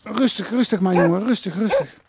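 Man playing an anxious high-voiced village father. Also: a little fast, gravelly, very noisy. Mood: alarmed, protective. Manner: urgent, nervous, hushing. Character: anxious Dutch village father in wartime, cautious and protective. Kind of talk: audio drama re-enactment.

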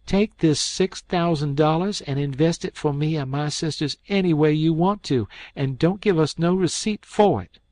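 Man voicing a defiant young woman, pitched up. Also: normal speed, few pauses, darkish tone, no room sound, natural voice. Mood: defiant, proud.

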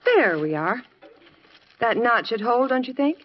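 A woman's voice: on the high side, big pitch swings, a little slow. An American actress playing a patient, practical middle-aged housewife and mother in a 1950s radio sitcom. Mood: pleasant. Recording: muffled lo-fi 1950s radio recording.

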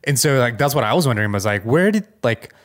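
Male podcast co-host, middle register, conversational and halting, feels his way toward the point. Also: mid-range voice, animated, a bit bright. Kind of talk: casual chat.

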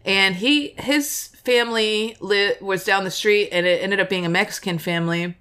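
A woman's voice: mid-range voice, normal speed, a bit bright, smooth. A woman co-hosting a podcast, telling stories in a casual, chatty way with fillers and laughs. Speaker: podcast co-host. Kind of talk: casual chat.

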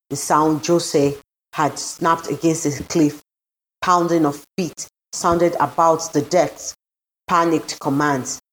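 Low-pitched woman narrating a story. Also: rough recording. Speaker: story reader. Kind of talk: scripted literary narration.

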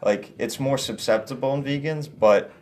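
A young man as a guest in a casual chat, soft-voiced, rambling with many "like"s and restarts. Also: medium pitch, moderate pace. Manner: rambling, heavy use of "like", restarts.

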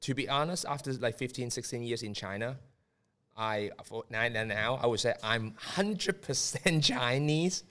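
A man answers interview questions as a guest, hesitant and full of fillers. His voice sits at a middle pitch. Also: unhurried, fairly bright tone. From Hong Kong.

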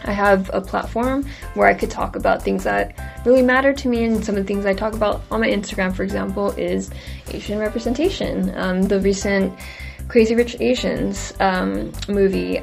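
A woman's voice: mid-range voice, gravelly. A woman who runs a beauty company, speaking thoughtfully in an interview with some fillers. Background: soft background music.

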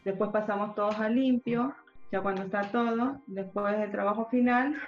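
A woman, interpreting in the first person for a deaf guide in an interview, speaking haltingly with many restarts. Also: mid-range voice. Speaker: sign language interpreter. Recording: roomy, dull lo-fi sound with faint music.

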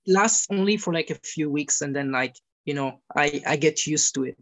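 A young man, a guest talking casually in an interview and often pausing with fillers while he searches for words in a language that is not his first. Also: a little high, fairly bright tone. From Italy. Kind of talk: casual conversation.

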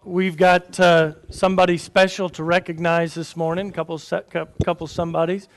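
A man's voice: high voice, level tone, moderate pace. A man leading his congregation as pastor, praying and making announcements in an earnest tone. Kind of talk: pulpit prayer and announcements.

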